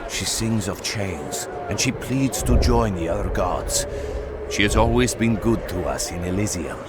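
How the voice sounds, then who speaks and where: Low-voiced heroic squad leader. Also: male voice, lively intonation, a little slow, heavy background noise. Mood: sympathetic. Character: confident, loyal Greek hero, squad leader. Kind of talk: scripted video game acting. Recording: loud music over voice. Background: loud music.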